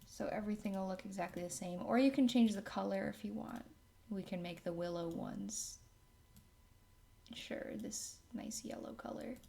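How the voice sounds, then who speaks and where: A woman narrating a casual software tutorial as its host, working at a computer indoors and sometimes murmuring to herself. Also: medium pitch, normal speed, vocal fry, slight background noise.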